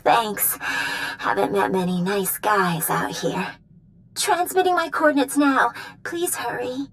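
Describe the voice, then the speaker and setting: A woman's voice: on the high side, takes it slowly, a bit bright, a little hiss or hum. A woman playing a flirty, deceitful, lovesick starship captain in a video game, with a coy, sugary manner. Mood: sweetly coy. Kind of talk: video game cutscene acting.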